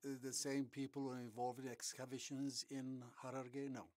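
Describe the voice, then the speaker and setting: A man hosting a TV interview, opening formally and asking halting follow-up questions. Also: medium pitch, level tone, darkish tone, no echo, clean studio sound. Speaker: interview host.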